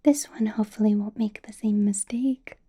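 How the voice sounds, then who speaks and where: A woman playing a doting, possessive and sweetly menacing female vampire boss in a whispered ASMR roleplay, with slow, breathy delivery. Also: medium pitch, steady tone, close to an everyday voice. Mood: quietly menacing. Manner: breathy, slow whispering with soft little laughs.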